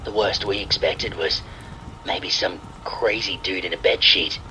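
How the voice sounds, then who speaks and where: A man's voice: low voice, measured pace, a little hiss or hum, poor audio. A man reading a scripted first-person horror story, with rain and thunder sounds behind his voice. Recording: faint steady rain behind the voice. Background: rain and thunder sound effects.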